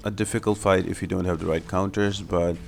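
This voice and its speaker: A man with a fairly low voice talks over clearly audible music, walking listeners through gameplay. He explains things step by step, in the instructive style of a tutorial host. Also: little pitch movement, fairly quick. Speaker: video game guide host.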